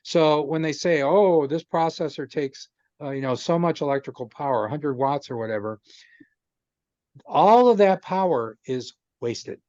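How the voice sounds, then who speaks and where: A man's voice: fairly high pitch, unhurried, a little mellow, dry recording, muffled recording. A man in a casual video call chat, explaining technical ideas patiently with lots of "um" and restarts. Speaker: guest explaining chip technology. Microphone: video call microphone.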